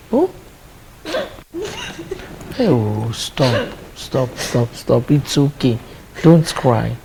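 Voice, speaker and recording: A woman's voice: deep voice, gravelly, warm tone, a little hiss or hum, exaggerated voice, low-quality recording. An actress playing a mother firmly soothing her crying daughter. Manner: firm, coaxing.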